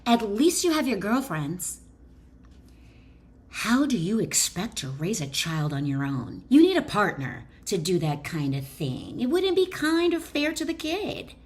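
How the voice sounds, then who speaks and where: A woman's voice: mid-range voice, sing-song, unhurried. A woman voicing a scolding, sassy inner critic in a folksy, dismissive, nagging manner during a book reading.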